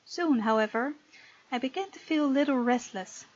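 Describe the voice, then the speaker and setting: A woman's voice: high voice, lively intonation, moderate pace, dull audio. A woman from the Netherlands, reading a visual novel aloud as let's play narration with casual asides.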